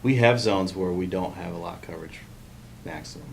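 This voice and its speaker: Low-voiced man explaining at a meeting. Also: brisk, vocal fry. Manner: explanatory, reasoning through examples. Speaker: public meeting participant. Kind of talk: meeting discussion. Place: indoor meeting room. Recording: noisy meeting room recording.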